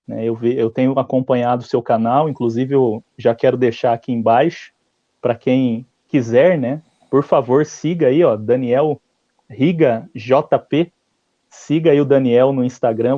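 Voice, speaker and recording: A Brazilian man with a Brazilian accent hosting a live interview, courteous and formal, filling pauses with "né". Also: mid-range voice, mellow, no room sound. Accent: Brazilian Portuguese. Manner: courteous, formal phrasing with frequent "né". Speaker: host. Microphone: video call. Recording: slightly muffled video-call audio.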